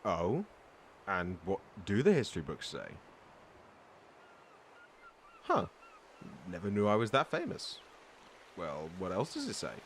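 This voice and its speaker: A man's voice: low-pitched, a bit bright, a little hiss or hum, heavily acted. A man playing a boastful, good-humored veteran pirate and sea-beast hunter in a storytelling roleplay audio drama. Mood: teasing, casual. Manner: bragging, teasing, theatrical storyteller with hesitant asides. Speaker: voice actor reading a script.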